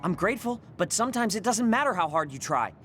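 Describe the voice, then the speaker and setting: A man's voice: high voice, very animated, quick pace, music in the background. An actor playing a proud, insecure young male soldier in a video game, irritable and defensive in manner. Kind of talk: English video game voice acting.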